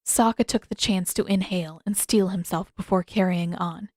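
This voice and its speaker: A woman reading scripted fiction as a narrator, with expressive, dramatic emphasis. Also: fairly low pitch, moderate pace, dry recording, clean studio sound. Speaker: audiobook-style fan fiction reader.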